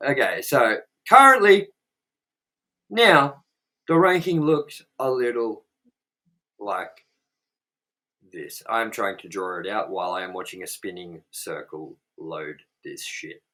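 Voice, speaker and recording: A man's voice: lowish voice, expressive, takes it slowly, long pauses, sharp tone. A man hosting a casual movie review show, jokey and loose, swearing freely. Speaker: show host.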